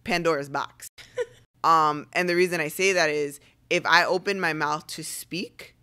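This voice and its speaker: A young woman speaking casually and assertively as a podcast guest, choosing her words deliberately. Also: low-pitched, steady tone, bright, forceful tone, smooth. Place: living room couch, indoors. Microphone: podcast microphone on boom arm.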